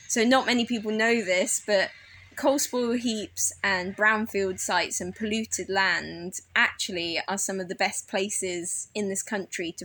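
An eager woman horticulturist talks through her garden plans on a podcast. She sounds openly nerdy and enthusiastic, and her voice sits at a middle pitch. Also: a bit bright. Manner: enthusiastic, self-described nerd. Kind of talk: podcast conversation.

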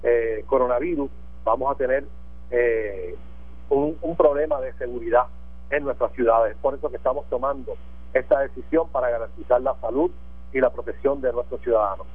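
A man's voice: mid-range voice, moderate pace, breathy edge, no room sound. A man, a mayor speaking formally about local measures in a radio phone interview. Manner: formal, official-sounding. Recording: tinny dry phone line.

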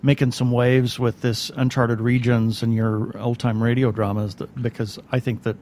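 A man with a mid-range voice co-hosts a webcast interview. His delivery is casual and chatty, and a faint rumble of noise sits under the recording. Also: flat delivery, moderate pace, darkish tone. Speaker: webcast co-host.

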